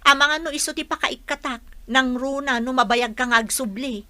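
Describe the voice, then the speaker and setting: An actress plays a practical confidante in an Ilocano radio drama, reproachful and full of pointed questions. Her voice is fairly high and forceful, with an Ilocano Filipino accent, in a dry recording. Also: moderate pace, no room sound. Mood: exasperated. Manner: questioning, scolding. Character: practical, reproachful working woman, the wife's confidante Sisa.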